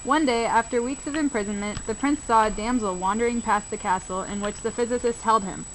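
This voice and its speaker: Female storybook narrator, somewhat high pitch, scripted read, light background noise. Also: a little fast. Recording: faintly noisy amateur video sound.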